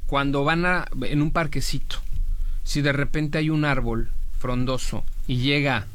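A man's voice: medium pitch, steady tone, unhurried, fairly bright tone, slight background noise. A man with a Mexican accent hosting a radio news show, chatting casually and joking with his co-host. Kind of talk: casual on-air chat.

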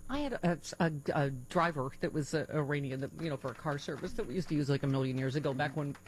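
A woman hosting a casual talk show, chatty and quick to laugh. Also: low-pitched, slight background noise, rough recording. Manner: lively, laughs often, chatty. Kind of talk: casual chat.

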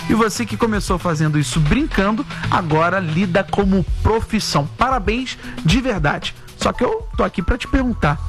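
A man hosting a call-in radio show, telling funny stories in an animated, joking way. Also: sits high, normal speed, few pauses. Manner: animated, playful, jokey storyteller. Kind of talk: lively radio storytelling and call-in chat. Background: music.

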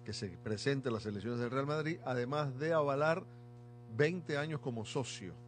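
A man's voice: mid-range voice, moderate pace. Guy explaining soccer stuff on a panel, mid-range voice, repeats to stress it, faint music behind. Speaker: football commentator and expert on Spanish football. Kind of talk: casual analytical panel talk.

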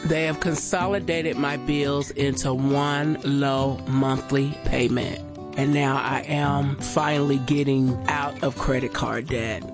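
A woman's voice: rumbling low, steady tone, takes it slowly. A woman giving an earnest, grateful customer testimonial in a radio advertisement. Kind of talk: read testimonial. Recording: radio ad with music bed.

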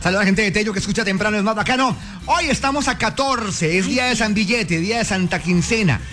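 A man with a Colombian accent hosting a morning radio show, playful and jokey in lively banter with his co-host. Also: high-pitched, fast talker, music in the background. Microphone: studio microphone.

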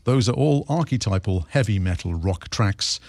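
An older man with a deep, lowish voice hosts a relaxed radio chat. He talks with easy enthusiasm about rock records and his guest. The sound is close and dry, with no room around it. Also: normal speed, no room sound. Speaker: radio host. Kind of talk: casual radio interview.

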